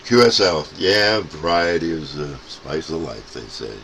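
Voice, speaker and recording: American guy, really deep voice, cb operator chatting on air, bit of hiss. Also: animated, a little slow. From upstate New York, USA. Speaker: amateur CB radio operator, channel co-host. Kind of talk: casual radio contact chat.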